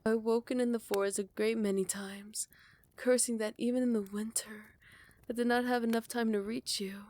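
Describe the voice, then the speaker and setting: A woman voicing a proud vampire royal smitten with a rival, in soft, formal, measured speech that often slips toward a whisper, for a romantic ASMR roleplay. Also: a little high, steady tone, lightly acted. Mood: hushed, longing. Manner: formal, archaic, measured courtly phrasing. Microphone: close microphone, dry.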